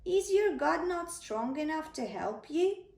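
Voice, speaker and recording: A woman voicing a boastful, booming giant warrior man in a children's storybook. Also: animated, a little slow, creaky, a little mellow, full character voice. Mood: taunting. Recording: echoey room, distant microphone.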